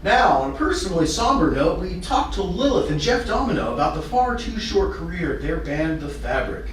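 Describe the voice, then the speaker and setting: A man playing a trendy, smooth entertainment presenter on television, read aloud from a script. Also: high-pitched, big pitch swings, fairly quick, pitched above the performer's own voice. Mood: somber, showy. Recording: roomy, distant, hissy room recording.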